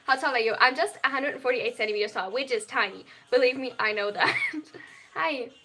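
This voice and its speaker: A young adult, reading viewer chat aloud on a livestream and answering at length. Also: female voice, a little high, fairly quick, sharp tone. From Germany. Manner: reads viewer chat aloud and answers, tends to over-explain. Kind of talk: casual live-stream chat.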